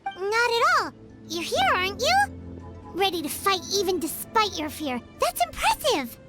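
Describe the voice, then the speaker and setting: A woman voicing a tiny, childlike floating fairy companion in a video game, perky and earnest with a squeaky voice. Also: very animated, normal speed. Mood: reassuring. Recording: loud music behind the voice. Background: loud game music.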